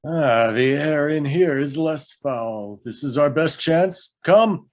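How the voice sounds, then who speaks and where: A man playing a weary, commanding old wizard in a fantasy script read, speaking solemnly and with pauses. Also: on the high side, animated, muffled recording.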